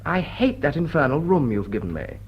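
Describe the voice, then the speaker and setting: An actor playing a suave, wry gentleman in a period drawing-room radio drama, drawling and wheedling with dry theatrical wit. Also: a little high, very animated, brisk, muffled recording, slight background noise. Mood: plaintive wheedling.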